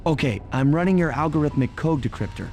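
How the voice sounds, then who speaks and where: A man's voice: fairly high pitch, expressive, quick pace, natural voice. A man voicing a suave young raccoon thief in a video game, laid-back and self-assured. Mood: cool, confident. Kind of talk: video game cartoon voice acting. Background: music.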